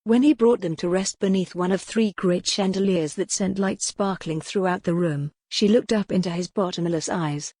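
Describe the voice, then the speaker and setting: Female audiobook narrator for historical romance, lower-pitched voice, dry close studio sound. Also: normal speed, few pauses, mellow, dry recording.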